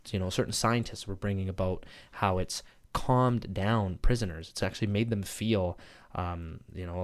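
A man co-hosting a podcast, giving a casual, upbeat and rambling introduction full of fillers and small slips. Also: fairly low pitch. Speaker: podcast co-host.

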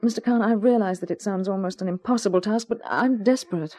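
A woman's voice: medium pitch, fast, dark tone, natural voice. An actress playing an anxious, well-bred Englishwoman in a 1950s radio mystery, speaking politely and earnestly with some hesitancy. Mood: desperate. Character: anxious, well-bred Englishwoman, glamorous client seeking help.

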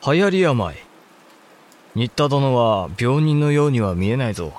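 Casting: composed young-lord type samurai leader, medium pitch, formal and grave, period audio drama. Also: male voice, very animated, a little slow, darkish tone, slight background noise. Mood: surprised. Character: thoughtful, measured samurai clan head. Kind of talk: Japanese historical audio drama.

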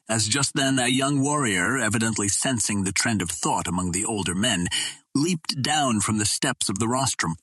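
Husky, expressive male storyteller. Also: medium pitch, no room sound. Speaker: audiobook narrator. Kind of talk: dramatic scripted narration of an old adventure novel.

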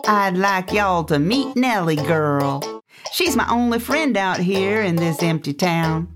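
A man's voice: high voice, animated, slow, over music, voice pushed up. A man voicing a lonely, nervous middle-aged farmer with a folksy rural drawl.

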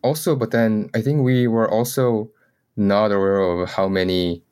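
A man's voice: lowish voice, fairly even intonation, moderate pace, darkish tone, smooth. A man from South Korea speaking as an interview guest, conversational, with hesitant, tentative phrasing.

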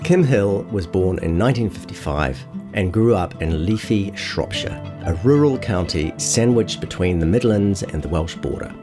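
Low-voiced man narrating a long-read feature. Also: animated, moderate pace, few pauses, over music. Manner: acts out quotes within narration.

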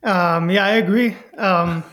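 A man's voice: sits high, a little slow, fairly bright tone. A young man, answering interview questions with frequent hesitant ums and uhs. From Israel. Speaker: podcast guest, professional fighter.

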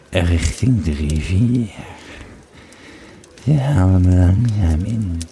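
A man playing a Roman legionary who tells his war story in an audio drama, with a gravelly, halting, dramatic delivery. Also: sits low, dark tone, heavily acted. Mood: tense, haunted. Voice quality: gravelly, creaky. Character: Roman legionary recounting his harrowing escape and mission. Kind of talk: dramatised first-person storytelling in an audio play. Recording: busy crowd chatter behind the voice. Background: crowd noise.

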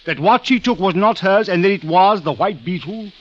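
An actor playing a formal, exacting, impatient police captain in an old-time radio drama, speaking with precise authority. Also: male voice, high-pitched, normal speed. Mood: stern, exacting. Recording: muffled vintage radio recording, faint noise.

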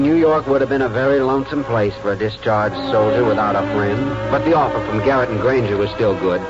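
Earnest young lawyer, old radio, music. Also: male voice, lowish voice. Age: young adult. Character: ambitious, earnest young small-town lawyer looking back on his life. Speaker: lead actor and narrator. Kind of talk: 1940s radio drama acting and first-person narration. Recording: dull 1940s radio recording with loud music.

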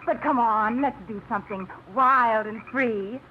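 An actress playing a lively single small-town woman in a 1950s radio drama, with a bright, spirited, teasing manner. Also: on the high side, measured pace, lightly acted. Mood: restless, playful. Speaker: lead actress. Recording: muffled old radio broadcast, faint hiss.

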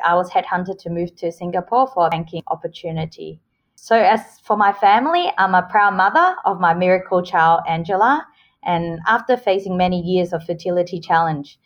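A woman's voice: lowish voice, expressive, normal speed, highs cut off. A woman in her forties who grew up in Australia after a Hong Kong childhood, talking earnestly and cheerfully as a podcast guest. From Hong Kong, raised in Australia. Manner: earnest, upbeat, explains with homely examples. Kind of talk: casual interview answers.

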